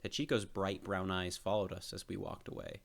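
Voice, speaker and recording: A man reading a children's picture book aloud as an expressive storyteller, with measured phrasing and emphasized words. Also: sits low, gravelly.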